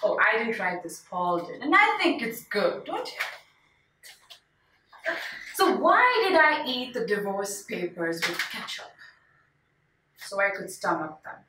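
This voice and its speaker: A woman's voice: mid-range voice, big pitch swings, slow pace, pauses often, pushed and bright, exaggerated voice. A woman playing a desperate, quirky wife refusing a divorce in a stage monologue, dramatic and emphatic, swinging between joking and pleading. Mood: wistful, wounded. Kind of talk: solo stage monologue performance. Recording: roomy, distant mic, faint noise.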